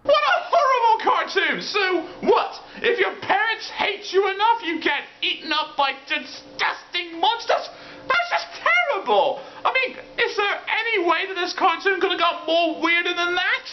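A woman playing an excitable, indignant comic sidekick, melodramatic, exasperated and prone to shouting. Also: very high-pitched, moderate pace. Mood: appalled. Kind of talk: scripted comedic reaction. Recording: dull muffled microphone, clear background noise.

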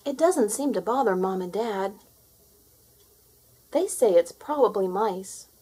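A woman reads a picture book aloud for children. Her pitch swings widely as she tells the story with drama, and her voice has a husky, unpolished edge. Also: animated, unhurried, warm tone. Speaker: storybook reader. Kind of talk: expressive children's read-aloud.

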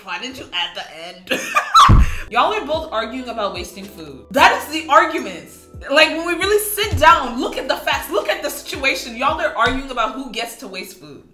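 A young woman giving casual, slangy reaction commentary in an expressive, incredulous manner. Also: high voice, normal speed, echoey room, over music. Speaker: YouTube commentary host.

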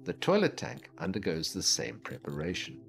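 Man, lowish voice, lively intonation, documentary voice-over, music behind. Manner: measured, explanatory delivery. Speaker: documentary narrator. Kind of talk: scripted documentary narration. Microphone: studio voice-over. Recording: voice-over with clear background music.

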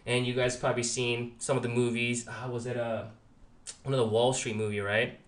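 A man's voice: medium pitch, flat delivery, brisk. A man giving informal, emphatic market chart commentary with rhetorical check-in questions, his voice slightly congested from a sore throat and sinus trouble. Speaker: market analyst and host of a trading update. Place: voice-over on a screen recording.

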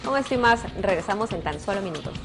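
A woman's voice: medium pitch, animated, fast, loud music behind the voice. A woman in her thirties presenting a television news magazine to camera from a studio.

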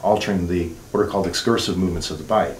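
A middle-aged man with a fairly low voice questions a patient, steering him with tag questions. He explains in clinical terms. The room echoes, with a faint hiss under it. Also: fairly even intonation, rapid-fire. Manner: leading, uses tag questions and jargon. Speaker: dentist. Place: dental clinic treatment room.

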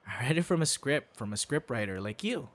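A man's voice: medium pitch, sing-song, a bit bright. A man acting a tender, secretive young man on a date in an ASMR boyfriend roleplay, easily flustered. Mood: evasive. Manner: slow, intimate, with halting stammers when flustered.

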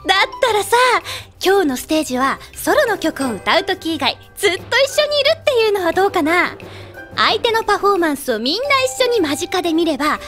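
High, breezy, teasing big-sister idol. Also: female voice, sing-song, fairly quick, fairly bright tone, soft background music, full character voice. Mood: upbeat, persuasive. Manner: breezy, playful, chatty. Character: breezy, teasing older-sister type adult woman idol. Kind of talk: anime audio drama acting.

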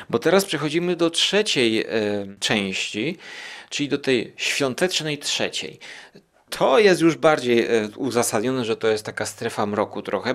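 A man hosting a podcast, giving a casual, rambling review and thinking aloud with drawn-out hesitations. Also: medium pitch. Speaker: podcast host. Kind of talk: casual monologue review of radio plays.

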